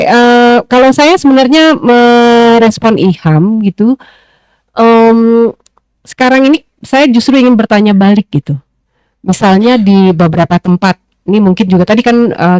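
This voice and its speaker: A woman panelist speaking in a discussion, thoughtful and pausing often with fillers as she reasons aloud. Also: mid-range voice, a little mellow, no room sound.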